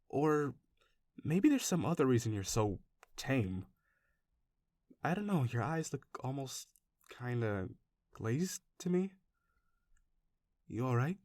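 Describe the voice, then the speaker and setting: A man's voice: mid-range voice, dry recording, studio quality. A man playing an obsessive, doting kidnapper in a roleplay audio, speaking to the listener with rambling, anxious affection. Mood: teasing, curious. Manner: soft-hearted, rambling, hesitant, gushing reassurance. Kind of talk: intimate roleplay audio acting addressed to the listener.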